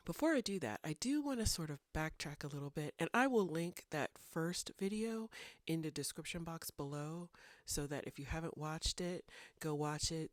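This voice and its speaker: A woman's voice: deep voice, dry recording, clean studio sound. Congested woman vlogging about writing. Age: 30s. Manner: thoughtful, meandering with fillers. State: congested from a cold. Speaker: writing channel host. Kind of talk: casual talking-head vlog.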